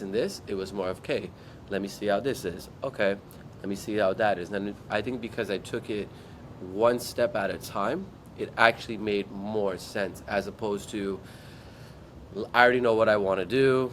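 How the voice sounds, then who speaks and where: A man from Guam, a startup founder, telling his story in an interview in a relaxed way and acting out his past thoughts. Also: lowish voice, a little hiss or hum. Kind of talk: interview answers.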